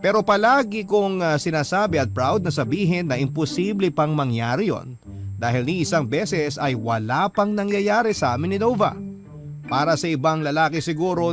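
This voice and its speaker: Actor as slightly high-voiced earnest Filipino storyteller. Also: male voice, close to an everyday voice. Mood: proud, sincere. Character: sincere, devoted husband telling his love story. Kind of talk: scripted first-person radio drama narration. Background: background music.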